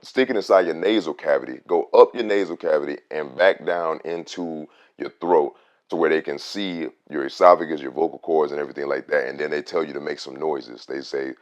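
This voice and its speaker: A man with a very deep, rich voice, a radio host telling a casual story about his own voice. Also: brisk. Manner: animated, swings loud on emphasis.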